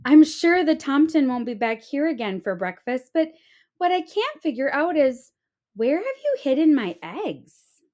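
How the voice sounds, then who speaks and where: A woman's voice: sits high, very animated, a little slow, warm tone, voice pushed up. A woman voicing an anxious, motherly hen in a storybook, plaintive and exclaiming. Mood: grateful, wondering.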